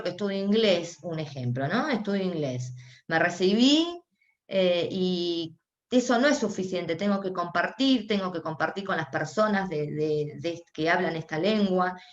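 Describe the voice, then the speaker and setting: Woman, Argentine accent, live voice interpretation, halting with restarts, roomy echo, dull lo-fi sound. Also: fairly low pitch. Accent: Argentine Spanish. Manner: halting, with frequent restarts and pauses while interpreting. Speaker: sign language interpreter voicing a deaf panelist. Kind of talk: live voice interpretation at a panel discussion.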